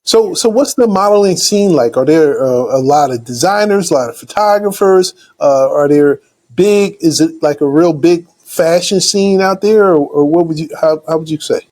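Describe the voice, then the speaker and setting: A man's voice: a little high, normal speed, darkish tone. A man hosting an interview show, asking questions hesitantly and often repeating his words. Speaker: show host.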